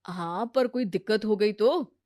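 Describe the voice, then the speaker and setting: A woman voicing a sensible, patient adult man, measured and gently advising, in a cartoon moral story. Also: mid-range voice, no echo, studio quality, natural voice.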